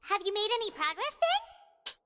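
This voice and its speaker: A woman voicing a tiny, curious fairy companion in a video game, with a squeaky childlike voice and an excitable, blunt manner. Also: moderate pace, heavy background noise, heavily acted. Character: tiny childlike floating fairy companion, curious, chirpy, speaks in third person. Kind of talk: video game character voice acting. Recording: reverberant, loud music underneath.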